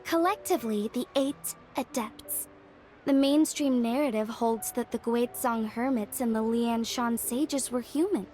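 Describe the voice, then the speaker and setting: A woman playing a polite, learned young woman in an anime game, explaining in a measured, formal manner. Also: a little high, dark tone, faint music behind, lightly acted. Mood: scholarly, composed.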